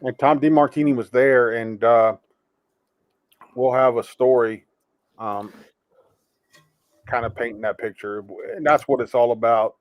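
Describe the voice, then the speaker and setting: Man talking quickly about sports, dry sound. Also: mid-range voice, fairly even intonation, no echo. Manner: quick, punchy, casual remarks. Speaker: co-host. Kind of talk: live sports show chat.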